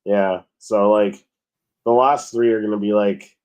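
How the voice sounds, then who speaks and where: A young man co-hosting a podcast in casual chat, slightly hoarse from losing his voice, relaxed and hesitant with frequent ums. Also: lowish voice, unhurried. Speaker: podcast co-host.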